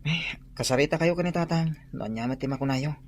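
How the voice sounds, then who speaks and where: Guy voicing a shy earnest young man in a radio play, a bit high for a guy, soft and hesitant. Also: fast, a little hiss or hum, close to an everyday voice. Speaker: son in a radio drama. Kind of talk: radio drama acting.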